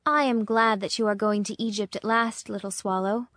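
A woman's voice: medium pitch, fairly even intonation, moderate pace, a bit bright, no room sound, clean studio sound, natural voice. A woman voicing a compassionate male statue prince who talks in an earnest, pleading way. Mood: earnest, gentle. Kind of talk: storybook reading.

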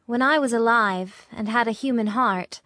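A gentle statue of a dead young prince from a storybook, played by a woman. The voice is a little high and musical, with measured, wistful sorrow. It is recorded dry and close. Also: no echo. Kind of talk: storybook character reading.

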